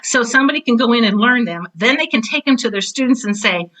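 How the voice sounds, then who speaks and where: A woman's voice: on the high side, expressive, fast talker, fairly bright tone, poor audio. A woman speaking conversationally as an interview guest, explaining her teaching work emphatically and voicing imagined questions and replies. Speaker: podcast guest, drama teacher and author of teaching materials.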